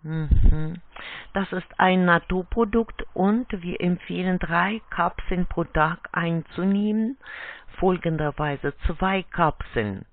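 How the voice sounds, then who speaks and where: A non-native German speaker works as a telesales agent, going slowly through a formal, scripted pitch. The phrasing is halting and a little awkward. The call comes through a muffled phone line. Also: no echo. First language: not German. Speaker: telephone sales agent.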